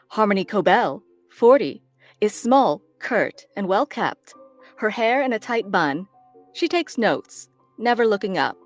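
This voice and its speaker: A woman reading stage directions as scripted narration for a drama. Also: mid-range voice.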